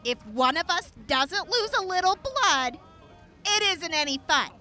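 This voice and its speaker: A woman playing a brash, tough, boisterous fighter and mentor in an audio drama, blunt and quick to swear. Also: extremely high pitch, expressive, sharp tone, soft background music, heavily acted. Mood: pained, amused.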